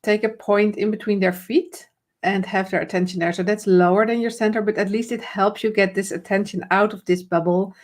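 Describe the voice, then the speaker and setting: A woman with a Dutch accent speaks as a confidence coach in a live online conversation. Her English is fluent but not native, and she explains things in a friendly, loose way, restarting sentences and adding fillers as she goes. Her voice sits at an ordinary middle pitch. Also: fairly even intonation, fairly quick. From Netherlands. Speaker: confidence trainer and host.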